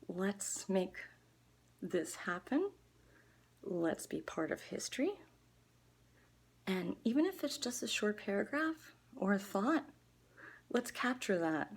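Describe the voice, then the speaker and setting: Slow, husky woman. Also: mid-range voice. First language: Dutch. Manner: earnest, halting, deliberate. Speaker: artist and book organizer. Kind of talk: personal video appeal to viewers.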